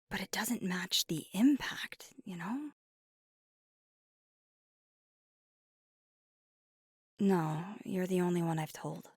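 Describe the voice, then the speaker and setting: A woman playing an earnest, conflicted young werewolf girlfriend in an intimate ASMR roleplay, speaking softly and candidly, often near a whisper, close to the mic. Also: on the low side, breathy edge, dry recording. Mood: quietly awed. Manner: deliberate, candid, casually profane. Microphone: close microphone, dry.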